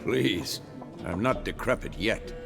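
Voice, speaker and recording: An actor playing an older monster hunter and mentor in a video game, wry and unhurried. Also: male voice, lowish voice, expressive, full character voice. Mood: wry, calm. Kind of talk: video game cinematic acting. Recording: clear music behind the voice.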